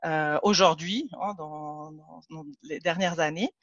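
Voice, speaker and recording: A woman's voice: sits low, measured pace, dry recording. A woman from Ticino, Switzerland, whose native language is Italian, leads an online training webinar with many fillers and restarts. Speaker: trainer and consultant. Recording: dull lo-fi dry call audio.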